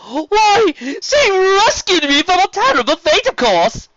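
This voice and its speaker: A woman voicing a vain, gushing, flamboyant male sea serpent duke with theatrical wails and drawn-out exclamations in a fan story reading. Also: very high-pitched, big pitch swings, fairly bright tone, no room sound, voice pushed up, full character voice. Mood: grateful, dramatic. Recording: slightly dull, lo-fi recording.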